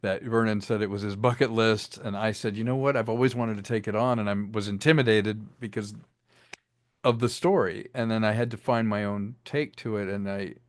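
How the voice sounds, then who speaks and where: Man, lowish voice, casual interview talk, acts out lines, dry close mic. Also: brisk, dry recording. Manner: animated, acts out lines while explaining. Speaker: director, guest.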